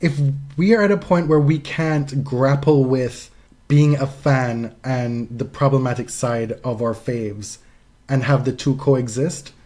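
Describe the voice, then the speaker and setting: A man's voice: medium pitch, unhurried, darkish tone. A man co-hosting a casual sports podcast, giving opinionated commentary in an emphatic, freely swearing way. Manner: emphatic, frequent profanity. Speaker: podcast co-host.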